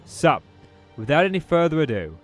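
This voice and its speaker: Chatty British man with a lively voice. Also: on the high side, moderate pace, faint music behind. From Britain. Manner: chatty, thinking aloud while playing. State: recovering from a throat infection. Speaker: gaming YouTuber. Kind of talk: casual let's-play commentary.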